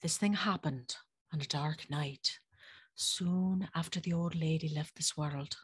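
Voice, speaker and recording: A woman playing a haunted former servant, telling a gothic tale in a grave, deliberate, breathy voice. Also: low voice, fairly even intonation, no room sound, full character voice. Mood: grave, hushed. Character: haunted woman recounting terrors of her days in service. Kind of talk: gothic first-person dramatic storytelling.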